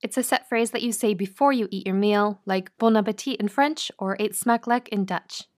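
A woman hosting a podcast, talking clearly and breaking phrases down slowly like a teacher. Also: mid-range voice. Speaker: podcast host. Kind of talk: scripted podcast intro and interview.

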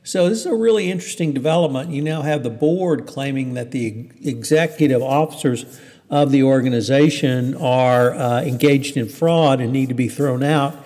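A man hosting a news podcast, reading and commenting on stories in a conversational, halting way. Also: mid-range voice, darkish tone. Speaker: podcast host. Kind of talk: news commentary, semi-scripted. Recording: hall-like reverberant room.

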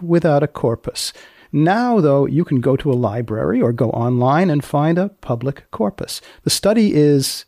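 A man with a gravelly, creaky voice hosts a podcast. He speaks deliberately and drily, pausing for effect, in a close, dry recording. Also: a little high, darkish tone, dry recording. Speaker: podcast host, magazine editor. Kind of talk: scripted podcast narration and questioning.

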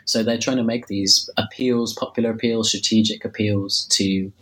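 A man's voice: lowish voice, level tone, normal speed, a bit bright, low-quality recording. A man with a slight cold, losing his voice a little, talking about his book in an interview, hesitant with many fillers and restarts. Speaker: author discussing his book. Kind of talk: interview answer.

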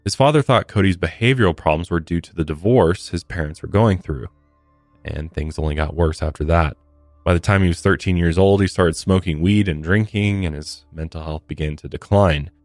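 A man's voice: low voice, normal speed, no echo, faint music behind. A man co-hosting a true crime podcast, recounting case details in a casual, conversational way with blunt asides.

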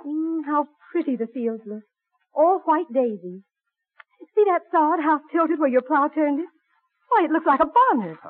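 High-voiced gentle colonial wife, tinny radio. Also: female voice, animated, normal speed. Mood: admiring. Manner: gentle, plain-spoken, old-fashioned. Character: gentle, practical young colonial Quaker farm wife, woman. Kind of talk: old-time radio drama acting. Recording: tinny band-limited old radio recording.

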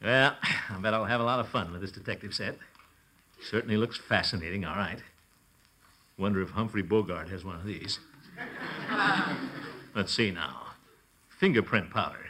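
An American actor playing a pompous, good-humoured middle-aged uncle in 1940s radio comedy, blustering and chuckling through drawn-out hesitations. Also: male voice, on the low side, pushed and bright, husky, full character voice.

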